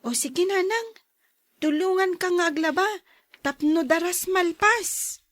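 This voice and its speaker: A woman's voice: extremely high pitch, unhurried, dry recording, clean studio sound. A woman playing a young, curious, helpful daughter in an audio drama, with a chatty, gossipy manner. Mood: eager.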